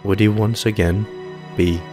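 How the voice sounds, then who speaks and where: Deep-voiced man narrating dark fantasy. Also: fairly even intonation, measured pace. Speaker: narrator, storyteller. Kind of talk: dramatic scripted fantasy narration. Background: music.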